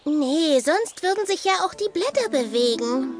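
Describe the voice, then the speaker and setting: A suspicious little beaver girl, assertive and childlike. Her high voice moves in lively swings over faint music in a cartoon radio play. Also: unhurried. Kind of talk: children's audio drama acting.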